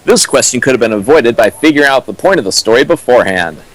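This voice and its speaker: A man's voice: mid-range voice, expressive, fast, rough recording. Young man trading dry quips. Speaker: co-riffer. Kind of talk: casual comedic commentary.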